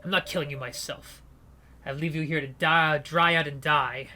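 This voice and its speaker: A man's voice: a little high, steady tone, normal speed, sharp tone, slight background noise. A man putting on a cold, sneering gangster boss. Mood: cold mocking. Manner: detached, sneering, commanding. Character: cold, mocking male gangster leader.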